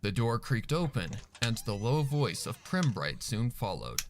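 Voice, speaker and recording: A man's voice: mid-range voice, big pitch swings, unhurried, background noise. A man narrating a fan fiction story from a script in a dramatic storytelling style.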